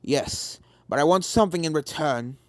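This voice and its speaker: A man's voice: on the high side, normal speed, close to an everyday voice. Man voicing a resentful, determined pony schemer. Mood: resolute.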